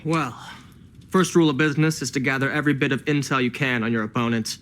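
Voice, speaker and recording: An actor playing a slick, pushy film collector in a video game, glib and teasing. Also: male voice, mid-range voice, fairly even intonation, quick pace, fairly bright tone, natural voice. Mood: teasing, coaxing.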